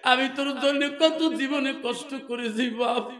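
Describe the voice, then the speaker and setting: Man playing protective widowed mother in a religious tale: tearful-sounding lament, very high, echoing. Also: fairly even intonation, normal speed, fairly bright tone. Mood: alarmed, tender lament. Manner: lamenting, repeated endearments. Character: devoted widowed mother, anxious, tender, protective. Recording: echoing amplified voice.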